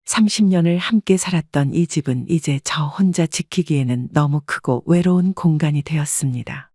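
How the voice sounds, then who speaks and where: A woman giving scripted first-person narration in an even, wistful storytelling manner. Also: low-pitched, moderate pace, slightly rough, no echo, studio quality. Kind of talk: scripted audio drama narration.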